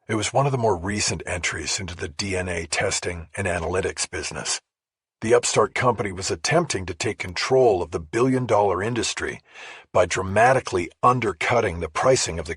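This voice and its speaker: A man narrating a first-person crime thriller audiobook in a measured, matter-of-fact way. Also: sits low, fairly bright tone, husky, no room sound, clean studio sound.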